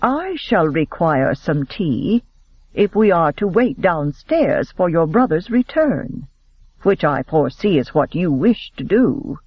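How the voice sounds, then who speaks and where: A man doing a gruff, stern old chaperone. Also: fairly high pitch, big pitch swings, no echo, dull audio, exaggerated voice. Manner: measured, dry, commanding.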